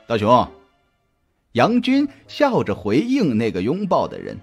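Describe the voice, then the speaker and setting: A male audiobook narrator tells a suspense story in a mid-pitched voice with very wide swings in intonation. His delivery is scripted and dramatic, with music playing behind him. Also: wildly swooping pitch, unhurried, gravelly, breathy edge. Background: background music.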